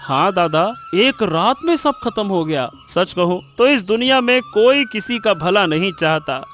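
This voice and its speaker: Man acting a high-voiced, consoling cartoon villager. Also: expressive, measured pace, a bit bright, soft background music. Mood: concerned. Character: concerned, consoling younger village acquaintance of a farmer. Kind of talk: Hindi cartoon story voice acting.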